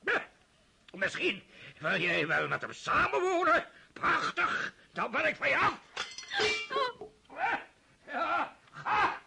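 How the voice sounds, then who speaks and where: An actor in a radio play, voicing a scornful man who sends someone out into the cold in a very high, gravelly, piercing voice. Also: bright, forceful tone, a little hiss or hum, heavily acted.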